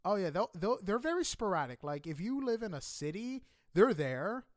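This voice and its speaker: Male podcast interviewer, slightly high voice, informal and chatty with hesitant phrasing, dry close recording. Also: big pitch swings, normal speed, no echo. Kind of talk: casual conversational interview.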